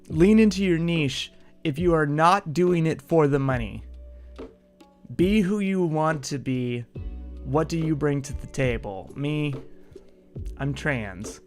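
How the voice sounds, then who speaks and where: A man with a slightly raised voice holds forth alone on his podcast, blunt and a little combative, swearing as he goes. Faint music sits quietly under his talk. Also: on the high side, slow pace, pauses often. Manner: punchy, combative, swears freely. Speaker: solo podcast host. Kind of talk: casual solo podcast monologue.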